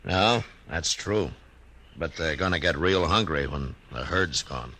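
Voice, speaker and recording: An actor playing a calm, plainspoken frontier marshal who also narrates, in a 1950s radio drama. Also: male voice, rumbling low, unhurried, slight background noise, full character voice. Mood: easygoing. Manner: laconic, drawling, understated. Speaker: narrator and lead character.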